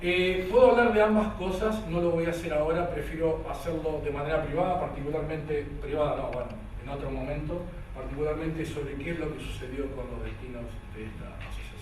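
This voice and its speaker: A man's voice: a little high, brisk, few pauses. Argentine male academic, hesitant lecturer, distant mic with faint background noise. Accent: Argentine Spanish. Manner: hesitant, many "eh" fillers and restarts. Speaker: conference lecturer. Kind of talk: academic lecture with a read-aloud poem. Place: lecture hall. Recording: echoey hall from far off, faint noise.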